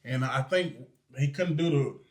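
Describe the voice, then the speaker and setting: A man's voice: mid-range voice, a little slow. A man, a co-host chatting loosely with lots of fillers. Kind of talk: casual chat.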